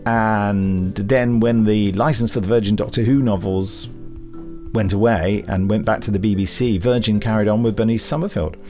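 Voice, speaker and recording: A man's voice: lowish voice, fairly even intonation, moderate pace, mellow, soft background music. A British man co-hosting a podcast in a chatty way, enthusiastic and given to wry, teasing asides. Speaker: podcast co-host.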